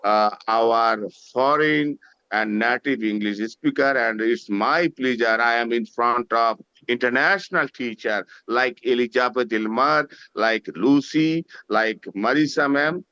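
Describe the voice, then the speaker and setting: Polite guy thanking everyone, really slow, clear bright voice, kinda muffled dry sound. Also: medium pitch, no room sound. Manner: halting, polite, grateful. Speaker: webinar participant.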